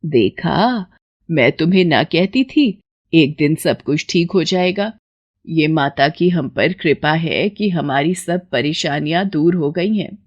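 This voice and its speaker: A woman voicing a poor, devout and gentle grandmother in a moral story. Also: low-pitched, lively intonation, slow pace, dull audio, heavily acted. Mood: relieved, tender.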